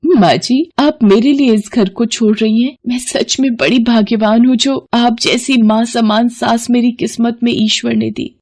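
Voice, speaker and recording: A woman voicing a gentle, dutiful young wife in a storybook drama, speaking politely and earnestly. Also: a little high, steady tone, unhurried, few pauses, pitched above the performer's own voice. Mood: grateful.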